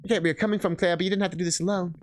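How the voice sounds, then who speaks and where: A man's voice: high voice, steady tone, racing, a little hiss or hum, lightly acted. A man voicing a caring but disappointed woman paladin in a fantasy story, earnest, firm and a little hesitant.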